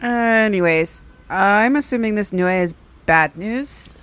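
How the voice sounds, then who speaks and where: Dry, level-headed actress as a young woman, mid-pitched. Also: slow pace, fairly bright tone. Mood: matter-of-fact. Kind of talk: audio drama dialogue, conversational.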